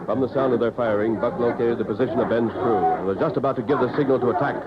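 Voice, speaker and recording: A man's voice: mid-range voice, fast, over a noisy crowd. A man with an American accent narrating a 1940s radio western in a dramatic storytelling style. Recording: dull old radio recording, music behind.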